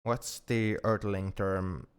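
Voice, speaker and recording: A smooth, lowish voice belongs to a shy alien in love , played by a man. He speaks very slowly and gently, halting and repeating himself with awkward tenderness. It is an intimate ASMR roleplay. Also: steady tone. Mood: tender, sleepy.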